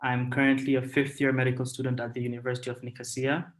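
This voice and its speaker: A young man from Tanzania speaks as a student guest in a live chat. His voice is medium in pitch and very level, with little rise or fall as he introduces himself plainly. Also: flat delivery, quick pace. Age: young adult.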